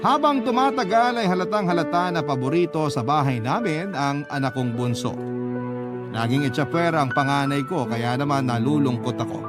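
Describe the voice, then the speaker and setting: A man's voice: a little high, normal speed. A man narrating a scripted first-person story for a radio drama in a dramatic storytelling style. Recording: loud music bed under the voice.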